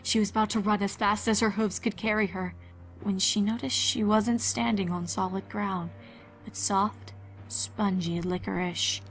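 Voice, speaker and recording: A woman's voice: mid-range voice, steady tone, unhurried, soft background music, poor audio. A woman giving a scripted narration of a fan fiction horror story.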